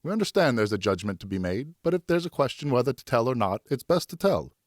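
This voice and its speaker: A man playing a firm but loving father who speaks in a stern, measured way in an audiobook. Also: medium pitch, sing-song, no echo, studio quality, voice pushed up. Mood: patient.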